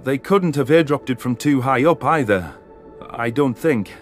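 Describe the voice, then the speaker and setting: A male narrator plays an anxious alien soldier in a sci-fi audio drama. He is grumbling and condescending, in a middle-pitched voice. Music plays behind him. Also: lively intonation, moderate pace, close to an everyday voice. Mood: nervous, wary. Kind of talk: audio drama character dialogue.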